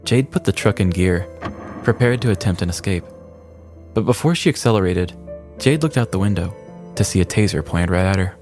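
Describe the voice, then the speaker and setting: A man's voice: sits low, animated, a little fast, a little mellow, faint music behind. A man co-hosting a podcast, narrating a scripted comedic true-crime story. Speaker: podcast co-host.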